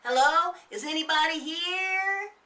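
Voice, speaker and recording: A cocky little boy from a Halloween story, voiced by a man, pitched very high and bright. He teases with giggling bravado, loud and bossy, in a roomy, distant recording. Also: measured pace, heavily acted. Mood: loud, calling out. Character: bold, teasing young boy, cocky trick-or-treater.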